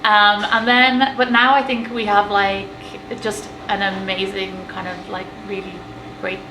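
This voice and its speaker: A woman's voice: medium pitch, little pitch movement, measured pace, pushed and bright. Chatty Irish woman in an echoey café. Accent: Irish, Galway. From Ireland. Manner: chatty, lots of fillers and laughs. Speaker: café owner. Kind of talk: casual interview chat. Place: inside her small café. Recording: echoey café room with background noise.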